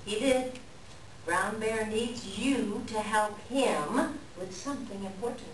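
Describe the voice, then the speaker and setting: A woman playing an earnest, scolding raven messenger in a staged folktale reading, speaking in a theatrical, deliberate, pleading way. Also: mid-range voice, close to an everyday voice. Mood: solemn, urgent. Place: live reading in a library room. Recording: echoey room, distant mic, background noise.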